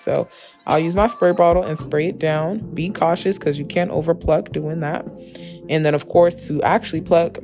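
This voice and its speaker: A young adult woman with a slightly hoarse, raspy voice, giving a chatty, emphatic beauty tutorial as a casual voice-over. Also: deep voice, darkish tone. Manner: chatty, emphatic, addresses viewers familiarly. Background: background music with guitar.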